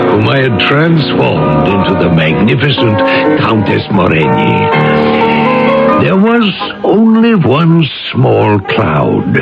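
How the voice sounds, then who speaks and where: An actor playing a doting, worldly old uncle who narrates his memories in a savouring, deliberate radio-drama manner. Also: mid-range voice, gravelly, heavily acted. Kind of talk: 1980s radio drama acting. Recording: dull old radio broadcast, music behind.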